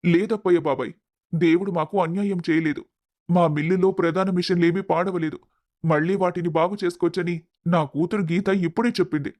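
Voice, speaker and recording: A man's voice: fairly high pitch, a little mellow, dry recording, natural voice. A man playing an emotive, earnest middle-aged mill owner and devoted father in a dramatized moral story.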